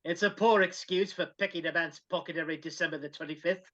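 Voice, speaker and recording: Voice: medium pitch, fairly even intonation, fast, fairly bright tone, close to an everyday voice. A performer reading a stern, miserly businessman with a curt, scornful manner in a live dramatic reading over a video call. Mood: grudging. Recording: dull lo-fi video-call audio.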